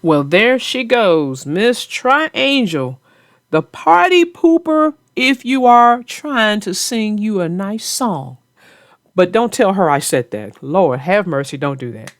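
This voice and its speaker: A woman's voice: medium pitch, big pitch swings, slow pace, dry recording, studio quality. A woman hosting a children's story show, chatting in a folksy, playful, teasing way. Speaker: host and storybook narrator. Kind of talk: children's read-aloud and casual chat about the story.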